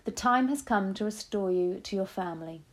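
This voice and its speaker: A woman's voice: medium pitch, a little fast, close to an everyday voice. A woman voicing a firm, wry, matter-of-fact old grandmother in a storybook. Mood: brisk, wry. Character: brisk, commanding old grandmother, calm and wry.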